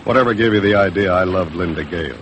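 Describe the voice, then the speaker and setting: An American actor playing a disillusioned, stern Hollywood big shot in 1950s radio drama, with a sardonic way of talking. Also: male voice, low voice, fast talker, fairly bright tone, dull audio. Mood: bitter. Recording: noisy vintage 1950s radio recording.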